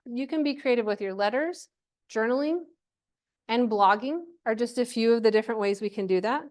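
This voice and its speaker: A woman's voice: a little high, brisk, a little mellow. A woman giving an informal workshop presentation to a live group, chatty and encouraging, often checking in with questions.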